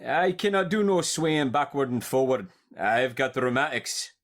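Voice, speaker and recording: A woman voicing a grumbling old male gardener with rheumatism, speaking gruffly and ungrammatically. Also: very deep voice, expressive, normal speed.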